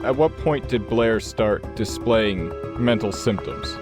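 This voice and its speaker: Male tabletop player, fairly low voice, inquisitive and deliberate in-character delivery, clear music underneath. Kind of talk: in-character roleplay questioning. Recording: music behind the voice.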